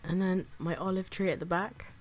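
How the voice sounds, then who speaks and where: A woman talks through her gardening vlog in a low, flu-roughened voice, her breathing audible. She keeps it chatty and self-deprecating, with the odd whispered aside. Faint music plays under her narration. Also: fairly even intonation, normal speed. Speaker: gardening vlogger.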